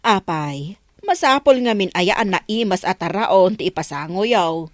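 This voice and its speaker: A woman's voice: medium pitch, animated, unhurried, dry recording, natural voice. A nagging, practical Ilocano village wife, played by an actress in a radio comedy drama. Her mid-range voice rises and falls with lots of animation. It is recorded close and dry.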